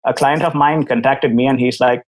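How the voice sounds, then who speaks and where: A man from Sri Lanka in his thirties speaks with a Sri Lankan accent. He tells his story as a podcast guest in a candid, wry way, at an average pitch. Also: fairly even intonation, brisk, low-quality recording. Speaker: guest, app company founder.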